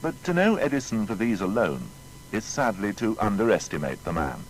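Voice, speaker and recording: A man's voice: on the low side, sing-song, brisk, slight background noise, low-quality recording. A man narrating a scripted biography in a formal, articulate documentary style.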